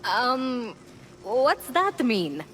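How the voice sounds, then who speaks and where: An earnest young heroine from a video game, self-doubting and a little wry. The voice is high and expressive, with an unhurried, hesitant delivery over faint music. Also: female voice, slight background noise, exaggerated voice.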